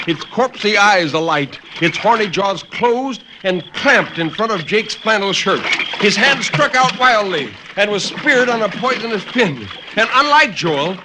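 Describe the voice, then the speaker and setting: A man reading horror tales in an intense, deliberate, theatrical 1950s radio storytelling style. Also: high voice. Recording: noisy vintage 1950s radio recording.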